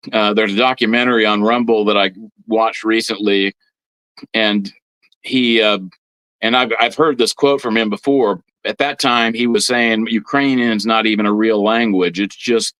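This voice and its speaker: A man with a slightly low voice, recorded close and dry. He talks like a podcast guest giving an informal history explanation, halting and doubling back on words as he lays things out. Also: fairly bright tone, no room sound, rough recording. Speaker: guest priest on a religious podcast.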